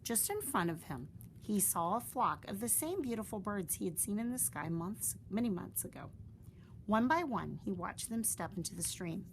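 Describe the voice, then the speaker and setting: Gentle woman reading a children's story. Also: medium pitch, expressive, normal speed, slight background noise, poor audio. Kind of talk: children's story read-aloud.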